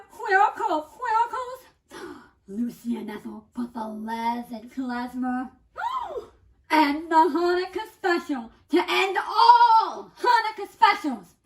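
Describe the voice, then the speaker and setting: Very high, exuberant young woman, acted out by a woman. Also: big pitch swings, slow pace, fairly bright tone, heavily acted. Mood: gushing, triumphant. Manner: punning, gushing, theatrical delivery. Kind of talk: theatrical monologue reading.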